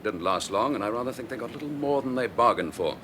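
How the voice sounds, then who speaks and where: An actor playing a wry, confident police inspector in a radio drama, with a breezy, clipped air of authority. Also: male voice, medium pitch, lively intonation, fast, lightly acted. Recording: noisy old radio drama recording.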